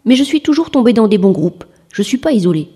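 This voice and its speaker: A woman's voice: a little high, sing-song, quick pace, dark tone, slightly rough. A woman in her late twenties giving plain interview testimony in short sentences. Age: late 20s. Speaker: interviewee, industrial technician returning to school.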